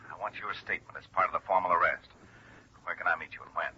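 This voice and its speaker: Very deep, sharp crooked lawyer. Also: male voice, fast, dull audio. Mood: calculating, insistent. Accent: American. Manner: formal, insistent. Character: calculating, formal male crooked lawyer. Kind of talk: 1950s radio crime drama acting. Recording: noisy, sharp vintage radio phone voice.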